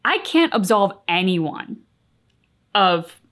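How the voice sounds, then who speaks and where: A woman's voice: medium pitch, very animated, takes it slowly, a bit bright. A woman co-hosting a reaction channel, talking casually and reflectively in an earnest, articulate, emphatic way.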